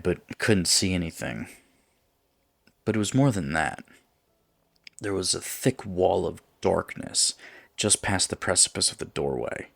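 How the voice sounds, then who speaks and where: A man narrating a scripted first-person horror story in a measured, suspenseful way. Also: sits low, gravelly, fairly bright tone, no room sound.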